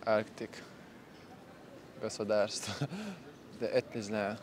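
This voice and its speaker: A man's voice: lowish voice, level tone, slow pace, a little hiss or hum. Hesitant man groping for words. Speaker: passer-by.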